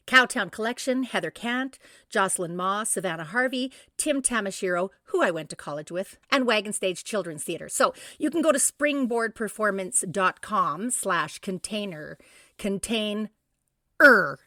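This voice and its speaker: A woman's voice: medium pitch, pushed and bright, no room sound, studio quality. A middle-aged woman co-hosting a podcast, chatting enthusiastically as she runs through event listings. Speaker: podcast co-host. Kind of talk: casual event listings and chat.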